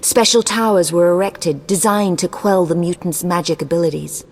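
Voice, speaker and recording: A woman's voice: fairly low pitch, steady tone, moderate pace, a little mellow. A woman playing an imperious, ruthless sorceress in a video game, haughty, formal and commanding. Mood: clinical, detached. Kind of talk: scripted video game dialogue. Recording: long cavernous reverb.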